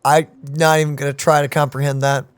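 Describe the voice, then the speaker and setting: A man hosting a reaction video, expressive and joking, often laughing as he reacts. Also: a little high, a little fast, smooth, no room sound. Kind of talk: casual reaction commentary.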